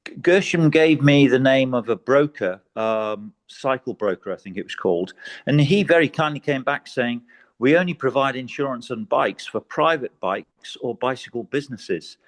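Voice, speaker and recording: A man's voice: mid-range voice, expressive, normal speed. Hesitant polite British man. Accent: neutral British. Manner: hesitant, repeats words, polite. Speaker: tour participant asking a question. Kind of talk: casual group video call.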